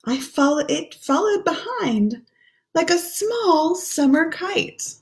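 A woman in her thirties gently reading a children's picture book aloud and explaining the pictures as she goes. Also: very high-pitched, lively intonation, takes it slowly. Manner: gentle, explaining pictures between read lines. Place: small room at home.

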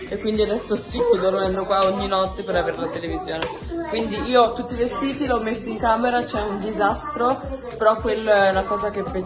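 A young woman talks casually into a phone camera held at arm's length inside her apartment. She chats to her viewers as she goes about her day. Music plays clearly underneath her voice. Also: medium pitch. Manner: chatty, addresses viewers as "raga". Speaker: lifestyle vlogger. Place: apartment, indoors. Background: background music.

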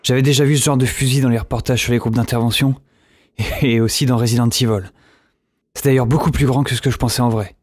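A man's voice: mid-range voice, steady tone, fast talker, a little mellow, slightly rough, close to an everyday voice. A man acting a lonely, shaken young survivor in an audio drama, speaking informally and hesitantly. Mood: recounting. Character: lonely, shaken male survivor of a zombie apocalypse. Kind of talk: audio drama acting, first-person narration.

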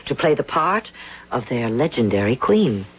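An actress playing a proud, theatrical out-of-work actress in a 1970s radio drama. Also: female voice, very deep voice, very animated, normal speed, breathy edge, heavily acted. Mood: haughty. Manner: theatrical, dreamy, haughty. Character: proud out-of-work American actress, woman in her thirties. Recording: muffled old radio broadcast.

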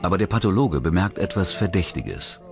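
A man's voice: sits low, expressive, brisk, vocal fry. A man delivering scripted narration for a true-crime documentary in the role of narrator. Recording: narration over music bed. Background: music.

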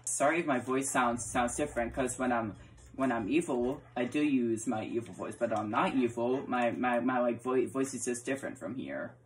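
A woman's voice: a bass voice, moderate pace, slight background noise, low-quality recording. A woman chatting casually while role-playing a block-building game, often stammering and repeating her words, recorded indoors by a camera aimed at the screen. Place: indoor room, filming a TV screen.

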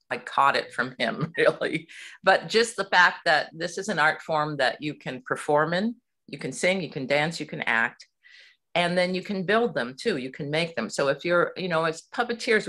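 Guest: middle-aged woman from Hawaii, bright tone, lively and chatty. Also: low voice. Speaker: puppeteer guest. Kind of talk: casual interview chat.

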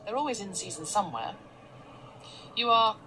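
An actress in a radio drama playing a brisk, commanding tech company founder in her thirties, clipped and impatient. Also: mid-range voice, lively intonation, sharp tone, faint music behind, poor audio. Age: 30s. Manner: clipped, commanding, always on the phone. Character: brisk powerful female tech CEO, impatient. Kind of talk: BBC radio drama acting.

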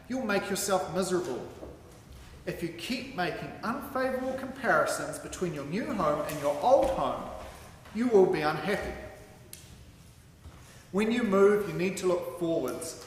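A man with a high-pitched, lively voice reads out a sermon with deliberate, emphatic phrasing. He speaks at a stand microphone in a large hall, and the sound is very echoey with some background noise. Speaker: sermon reader. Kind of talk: sermon read aloud from a script. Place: large hall with curtained stage, indoors. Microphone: microphone on a stand at a lectern.

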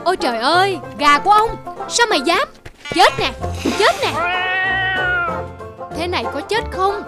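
A woman's voice: extremely high pitch, animated, a little slow. A woman voicing a greedy, hot-tempered middle-aged male rice merchant in a cartoon, grumbling and exclaiming. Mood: outraged, dismayed. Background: children's music.

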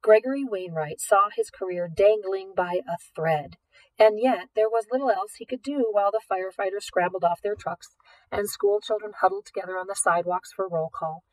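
A woman's voice: mid-range voice, moderate pace, darkish tone, no echo. Woman reading a novel, slightly distant. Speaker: audiobook reader. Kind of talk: expressive scripted storybook narration.

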